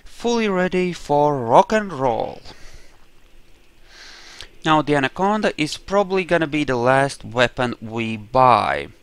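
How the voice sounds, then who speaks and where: A man's voice: fairly high pitch, animated, a little slow, clear, resonant tone. Man rambling through casual gameplay commentary. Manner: rambling, thinking aloud. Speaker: gamer narrating his own roleplay playthrough. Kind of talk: casual let's play commentary.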